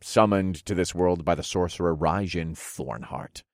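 A man's voice: deep voice, unhurried, no echo, clean studio sound. A man voicing a brash, casual fantasy hero with cheeky modern phrasing in an audiobook.

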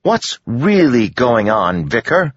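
A man voicing a bold, stubborn teenage girl in an audiobook, loud, insistent and dramatic. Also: mid-range voice, animated, moderate pace, muffled recording, a little hiss or hum, pitched above the performer's own voice, natural voice. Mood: loud indignation.

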